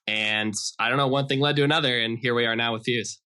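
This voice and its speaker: Bright-voiced American man, casual explainer. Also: medium pitch, steady tone, fast talker, clean tone. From Idaho, United States. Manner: casual, explanatory, says "like" often. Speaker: interview guest, software developer. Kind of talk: conversational podcast interview.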